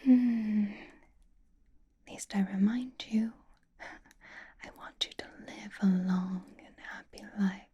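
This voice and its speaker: A woman voicing a possessive, haughty, flirtatious vampire in a breathy, intimate whisper for an ASMR roleplay. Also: mid-range voice, steady tone, warm tone. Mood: doting, possessive. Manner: intimate, languid, disdainful, drawn-out phrasing with pauses.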